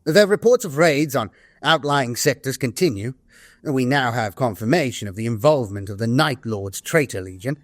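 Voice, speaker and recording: A man voicing a grave, dutiful staff officer of a far-future army in an audio drama, formal, solemn and measured. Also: medium pitch, expressive, no echo, natural voice. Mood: formal, resolute. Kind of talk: scripted audio drama narration of war journals and briefings. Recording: music behind a studio voice.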